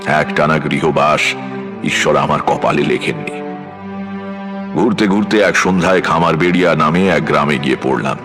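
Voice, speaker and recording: A man with a husky voice narrating a scripted dramatic story in the first person, in a measured storyteller's delivery. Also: a bass voice. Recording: music bed behind voice. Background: background music.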